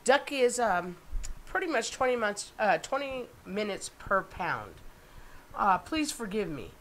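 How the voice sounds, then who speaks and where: A middle-aged woman with a stuffed-up, nasal voice, chatting excitedly through a cooking demonstration in her home kitchen. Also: medium pitch, a little slow. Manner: chatty and excitable, with many ums and asides.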